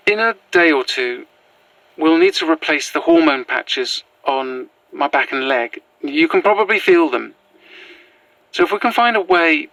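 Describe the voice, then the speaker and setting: A woman playing an earnest, gentle transgender woman in a horror audio drama, speaking in a sincere, halting way. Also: low voice, no echo, full character voice, low-quality recording. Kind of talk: found-footage horror audio drama acting.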